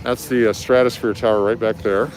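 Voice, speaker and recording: A man's voice: lowish voice, fairly even intonation, brisk. A man hosting a live walking tour, talking casually and informally as he explains things. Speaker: livestream host and street tour guide. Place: outdoors on city streets at night. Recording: noisy outdoor city street with traffic.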